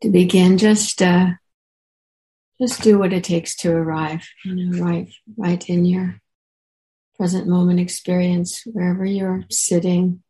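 Slow, creaky-voiced woman guiding meditation. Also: lowish voice, flat delivery, slight background noise, poor audio. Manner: unhurried, gentle pauses. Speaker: meditation teacher. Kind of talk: guided meditation.

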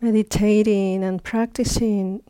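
A woman teaching guided meditation, speaking in short, unhurried phrases with long pauses between them. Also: mid-range voice, little pitch movement, warm tone, smooth, no room sound.

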